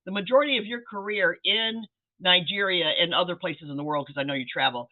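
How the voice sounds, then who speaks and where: A man's voice: high-pitched, fast. A man moderating an online panel, upbeat and enthusiastic as he introduces the speakers. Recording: tinny video-call audio.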